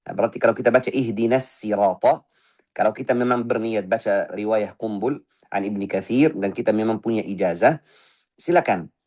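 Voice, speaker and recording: A man's voice: fairly low pitch, darkish tone. Man drilling Quran pronunciation, firm and exacting. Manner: corrects mistakes by voicing wrong and right pronunciations in turn; firm, repeats short syllables slowly for drilling. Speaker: Quran recitation teacher. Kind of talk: tajwid lesson with spoken recitation examples.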